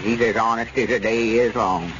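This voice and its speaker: A man's voice: fairly low pitch, fairly even intonation, moderate pace, fairly bright tone, lightly acted. Old rural Southern rustic, played by a male actor: trusting, folksy drawl, golden-age radio comedy, dull noisy recording. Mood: earnest, defensive. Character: folksy old country storekeeper turned circus owner, slow and trusting. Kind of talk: 1930s-40s radio comedy acting.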